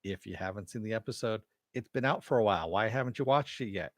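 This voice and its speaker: Chatty male stream host with a low voice and a close, dry home mic sound, rambling and talking back to his chat. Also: fast, dry recording. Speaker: show host reviewing a TV episode. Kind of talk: casual live-stream chat and recap. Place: home room. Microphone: headset mic with headphones.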